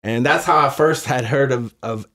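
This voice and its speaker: A man's voice: medium pitch, normal speed, sharp tone. A man hosting a podcast interview, talking with enthusiasm and stumbling over his words with lots of fillers. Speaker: podcast host.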